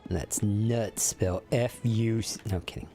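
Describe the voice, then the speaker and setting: A man's voice: fairly low pitch, steady tone, normal speed, dark tone, soft background music. A man in his forties chatting casually and crudely while streaming a video game, joking with his viewers in mock-serious banter. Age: 40s. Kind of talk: casual gaming stream chat.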